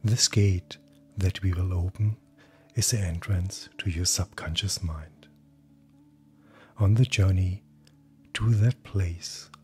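A man speaking as a hypnotherapist, guiding sleep hypnosis in a calm, gentle, breathy voice that often nears a whisper. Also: deep voice. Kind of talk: guided hypnosis, soothing scripted narration. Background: soft background music.

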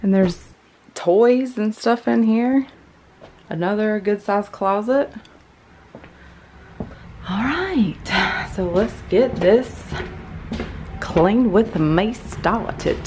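A woman's voice: mid-range voice, extremely slow, pauses often, warm tone, soft background music. A middle-aged woman giving a casual, chatty house tour as she walks through rooms holding the camera. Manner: chatty, informal. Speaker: vlogger. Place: inside a furnished house, walking between rooms. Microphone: handheld camera, held by the speaker. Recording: handheld camera audio with faint room noise.

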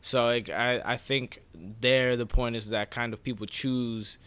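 Bright, clear young male host. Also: medium pitch, fairly even intonation, unhurried. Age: young adult. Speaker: podcast host. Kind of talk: casual podcast discussion. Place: small living room, indoors. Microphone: podcast microphone.

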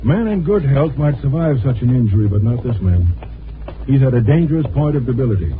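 An actor playing a grave, matter-of-fact hospital doctor in a 1950s radio drama, with clipped clinical phrasing. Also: male voice, mid-range voice, big pitch swings, fairly quick, close to an everyday voice. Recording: noisy, tinny 1950s radio recording.

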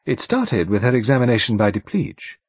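A man voicing a thin, precise English barrister in an audiobook, quietly persistent, reflective and dry. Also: medium pitch, big pitch swings, a little fast, breathy edge, no room sound, muffled recording. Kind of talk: audiobook character dialogue.

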